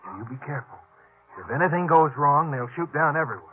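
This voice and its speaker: An actor playing a tense, defiant frontier station keeper, guarded and earnest, in a 1950s western radio drama. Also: male voice, on the high side, expressive, quick pace, slight background noise, natural voice. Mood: urgent, protective. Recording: muffled, tinny vintage radio recording.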